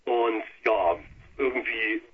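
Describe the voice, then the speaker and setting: A man from Lower Saxony, a band guitarist giving a rambling, colloquial interview by phone. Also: slow, husky. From Lower Saxony, Germany. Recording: muffled, noisy telephone line on radio.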